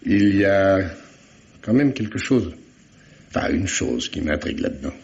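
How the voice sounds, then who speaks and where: A man's voice: low-pitched, big pitch swings, unhurried, darkish tone, heavily acted. A man playing a grumbling, sardonic forensic expert in a vintage radio mystery, full of weary sarcasm. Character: sardonic, grumbling male forensic expert, detective's old colleague. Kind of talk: 1950s French radio mystery acting. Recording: dull, band-limited old radio drama recording.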